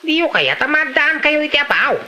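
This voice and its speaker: A woman's voice: sits high, very animated, normal speed, bright, forceful tone, full character voice. A woman acting a reluctant, grumbling young villager in an Ilocano comedy drama, with an Ilocano accent. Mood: pleading.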